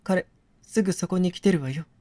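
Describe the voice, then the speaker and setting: A composed captive actress, played by a man, speaks her game dialogue with coy, wistful softness. She teases in feminine phrasing, in a dry, close recording. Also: high-pitched, moderate pace, no echo, pitched above the performer's own voice, lightly acted. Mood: taunting. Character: captive actress, soft, wistful, teasing woman. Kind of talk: dramatic game dialogue reading.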